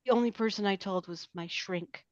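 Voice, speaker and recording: A woman acts a provocative, sullen teenage outcast girl in a script read. The pitch is mid-range and the delivery blunt. The sound is dry and a little muffled. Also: moderate pace, no room sound, lightly acted. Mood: brazen. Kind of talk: table read of a film script.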